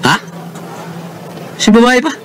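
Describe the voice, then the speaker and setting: Earnest buddy, Filipino accent, expressive pitch swings, radio drama, noisy setting. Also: male voice, takes it slowly, exaggerated voice. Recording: noisy, with street-like ambience behind.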